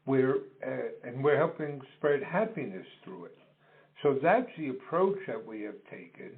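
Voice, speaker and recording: A middle-aged or older man, explaining his business in an interview in measured, deliberate phrases. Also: mid-range voice, animated, vocal fry. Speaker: business co-founder being interviewed. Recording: dull phone-like call audio.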